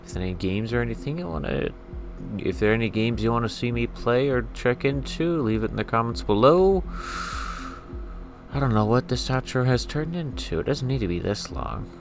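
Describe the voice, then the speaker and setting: A man's voice: medium pitch, lively intonation, a little fast. A man hosting a gameplay video with casual commentary and informal, half-to-himself reactions. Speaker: gaming video host. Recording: game music behind the voice.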